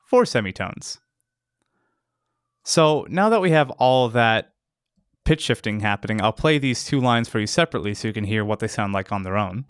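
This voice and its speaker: A man's voice: mid-range voice, a bit bright, clear, resonant tone, no echo, clean studio sound. A man hosting a casual instructional video, explaining step by step as a sound designer. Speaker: tutorial host, sound designer. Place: close, dry voice-over recording.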